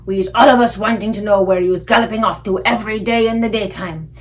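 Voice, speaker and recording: A woman voicing a huge, crude, bullying giant in a children's storybook, booming out taunts in broken grammar. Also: fairly even intonation, fairly quick, lightly acted. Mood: menacing. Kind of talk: children's storybook read aloud.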